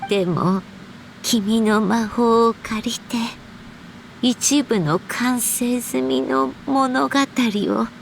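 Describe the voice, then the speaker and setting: Wistful, reflective storybook author. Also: female voice, a little high, slow pace, gravelly, a little hiss or hum. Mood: earnest, subdued. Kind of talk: Japanese game story voice acting.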